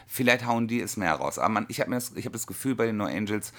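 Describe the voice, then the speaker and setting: Lively, joking man in his forties. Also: on the low side, fast. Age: 40s. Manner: chatty, joking, animated storytelling. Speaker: podcast co-host. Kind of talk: casual chat.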